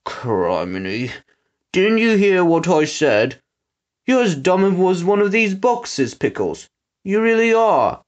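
A man's voice: fairly high pitch, sing-song, a little slow. A man voicing a nervous, bookish storybook henchman who is wordy, hesitant and pedantic. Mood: exasperated. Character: nervous, bookish, philosophizing henchman, easily exasperated.

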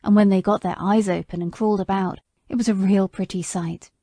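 A woman voicing a bitter, indignant old male horse in an audiobook, speaking slowly in a low, grave way. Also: steady tone, mellow, no echo, studio quality, natural voice. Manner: slow, low, grave delivery. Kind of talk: audiobook character voice.

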